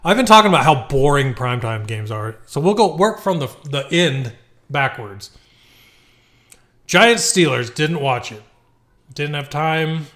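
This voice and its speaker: Man, higher-pitched voice, relaxed and chatty, podcast co-host. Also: unhurried. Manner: loose and chatty, laughing easily, a few beers in. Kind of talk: casual sports chat.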